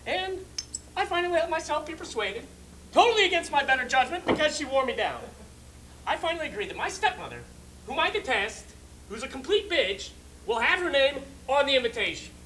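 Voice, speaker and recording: Frantic, put-upon groom male actor for a stage comedy, bright forceful voice, very animated, emphatic. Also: very high voice, brisk, slight background noise, heavily acted, rough recording. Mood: exasperated. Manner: agitated, emphatic, swooping delivery. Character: frantic, put-upon bridegroom, exasperated and neurotic. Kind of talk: theatrical stage monologue.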